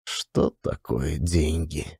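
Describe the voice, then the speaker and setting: A man's voice: extremely low pitch, takes it slowly, gravelly, dark tone, breathy edge, no room sound, clean studio sound. A man reading a scripted literary story as a dramatic audiobook narrator.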